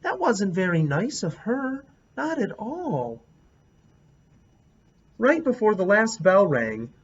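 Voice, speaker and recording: A man reading a children's picture book aloud expressively, pausing to ask his listeners questions. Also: fairly high pitch. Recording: dull lo-fi recording.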